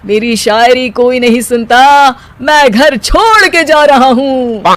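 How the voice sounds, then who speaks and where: A voice actor doing a lazy couplet-spouting cartoon husband, high-voiced. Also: male voice, takes it slowly, fairly bright tone, dry recording, exaggerated voice. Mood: showy. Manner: reciting rhyming couplets with comic flourish. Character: lazy young husband, idle live-in son-in-law who loves reciting couplets. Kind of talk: cartoon voice acting.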